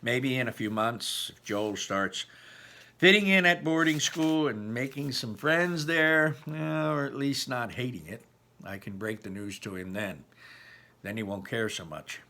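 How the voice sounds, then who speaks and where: A man reading a novel aloud in an expressive, emphatic, informal way, like a teacher, with personal asides. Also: medium pitch, measured pace.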